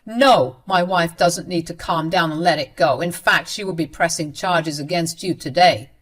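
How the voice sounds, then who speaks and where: A woman's voice: on the low side, normal speed, lightly acted. A woman voicing a grown son who firmly scolds his mother. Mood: stern. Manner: firm and scolding.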